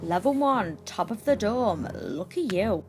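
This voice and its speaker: A woman's voice: medium pitch, expressive, unhurried, soft background music, natural voice. A woman playing a tough, brash, taunting mercenary in an audio drama.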